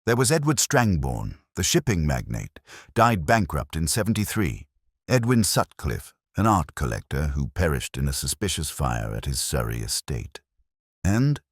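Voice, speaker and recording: A man's voice: rumbling low, sing-song, moderate pace, breathy edge, no room sound, studio quality, heavily acted. A man voicing a detective's thoughtful, earnest companion in an audio story, speaking somewhat tentatively. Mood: thoughtful, recalling.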